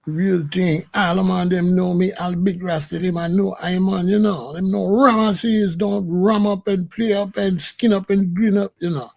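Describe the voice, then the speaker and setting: A swaggering, no-nonsense rasta bad man brags in a put-on Jamaican patois, as a man acts him out. The voice sits high with a gravelly edge. He piles up tough-guy boasts and repeated tags. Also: steady tone, normal speed, few pauses, darkish tone. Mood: boastful. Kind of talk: comic impression.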